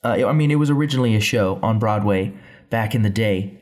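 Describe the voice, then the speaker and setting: A young man co-hosting a podcast, chatting casually in a quick, rambling, joking way. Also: on the low side, a little mellow. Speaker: podcast co-host. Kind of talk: casual chat.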